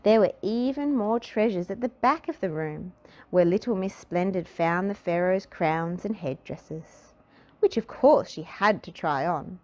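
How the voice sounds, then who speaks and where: A woman narrates a children's storybook. Her voice is on the low side, and she reads very slowly, with deliberate expression. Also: expressive, a little mellow. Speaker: storybook reader.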